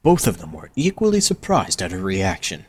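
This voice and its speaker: A man's voice: sits low, wildly swooping pitch, normal speed, a little mellow, husky. A man reading scripted narration for an audio drama as its narrator.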